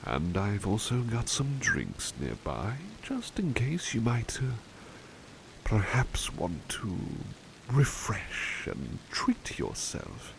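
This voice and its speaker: A man playing a devoted, polite butler in an ASMR roleplay, speaking softly and hesitantly with courteous formality, often slipping toward a whisper. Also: on the low side, huge pitch swings, slow pace, gravelly, noisy background, full character voice. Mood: courteous, warm. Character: devoted, affectionate, polite male butler comforting his companion. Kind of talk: ASMR roleplay, intimate personal attention.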